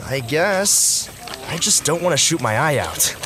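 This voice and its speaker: A man as an excitable, swoopy-voiced wisecracking deputy. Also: a little high, unhurried, background noise. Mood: amazed, squeamish. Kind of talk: zombie comedy audio drama. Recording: loud music behind the voice.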